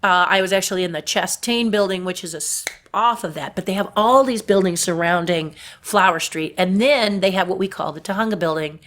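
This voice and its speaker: A middle-aged woman from Southern California with a deep voice, chatting about her puppetry work in an interview and laughing at her own stories. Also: a little fast, few pauses, fairly bright tone. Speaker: puppeteer guest. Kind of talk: casual interview storytelling.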